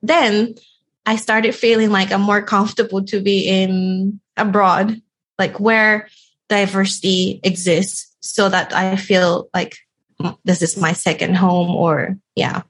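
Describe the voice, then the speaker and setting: A woman's voice: mid-range voice, flat delivery, breathy edge. Japanese accent woman in her 30s, chatting as a guest, lots of um and like. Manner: halting, many fillers and restarts. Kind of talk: casual interview chat.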